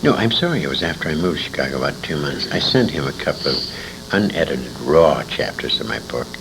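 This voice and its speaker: A man's voice: very deep voice, sing-song, fast talker, vocal fry. An older American man telling war stories conversationally, with an emphatic, dramatic delivery that leans on repeated phrases. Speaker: interviewee, war veteran and writer. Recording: noisy amateur recording with room ambience.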